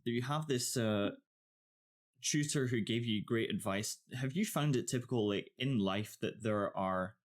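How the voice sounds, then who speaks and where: A woman's voice: very deep voice, a little mellow. A woman hosting a podcast interview, attentive and giving short backchannels. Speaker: podcast host.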